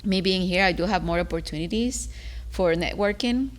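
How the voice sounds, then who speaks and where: A woman's voice: on the low side, normal speed. A young woman from Ecuador, a guest talking casually and chattily, full of "you know" fillers. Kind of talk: casual interview talk.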